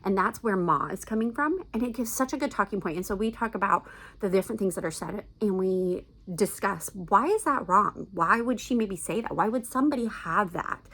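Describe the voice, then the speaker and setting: A woman's voice: medium pitch, expressive, fairly quick, fairly bright tone, breathy edge. A middle-aged woman giving casual, chatty recommendations as a book vlogger, with an enthusiastic, emphatic manner.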